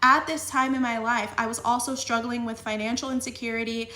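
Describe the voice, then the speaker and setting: A woman's voice: fairly high pitch, fairly even intonation, fairly quick, sharp tone, roomy sound. A young woman giving a casual tarot reading, talking in a chatty way full of fillers and a little sniffly. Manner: chatty, filler-heavy with frequent "like" and "you know". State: slightly sniffly. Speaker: tarot reader.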